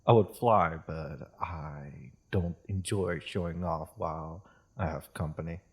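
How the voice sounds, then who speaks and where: A refined, gentle dragon played by a man welcomes a guest to tea in an audio roleplay. The voice is very low and husky, unhurried and polite, with expressive rises and falls. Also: full character voice. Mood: focused, gracious. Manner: polite, refined, hesitant, softly chuckling host. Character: courteous, gentle dragon hosting tea in its cave. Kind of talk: roleplay audio drama, addressing the listener.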